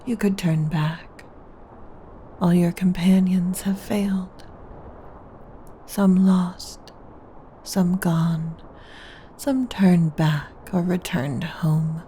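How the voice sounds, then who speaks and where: A woman voicing a seductive, taunting undead lich queen in a breathy whisper, as a slow, teasing ASMR roleplay monologue. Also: lowish voice, dark tone, a little hiss or hum. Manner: slow, deliberate, teasing, regal. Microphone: close mic.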